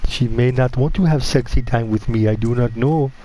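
A man's voice: mid-range voice, moderate pace, dark tone, a little hiss or hum, lightly acted, rough recording. A man voicing a crude male killer in broken English, with swearing and leering taunts while playing a game. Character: crude foreign-sounding male killer hunting victims. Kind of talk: gameplay commentary in character.

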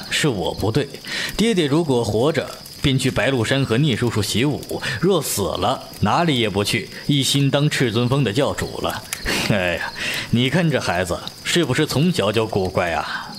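A man playing an avuncular, teasing middle-aged martial-arts master in a Chinese audio drama, unhurried in his telling. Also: medium pitch, big pitch swings, a little hiss or hum, lightly acted. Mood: reminiscing. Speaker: voice actor.

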